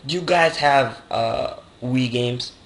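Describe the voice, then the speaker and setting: A young man from Canada making a casual, deadpan prank call over the internet. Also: mid-range voice, slow. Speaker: prank caller. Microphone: computer voice call. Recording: internet call with faint noise.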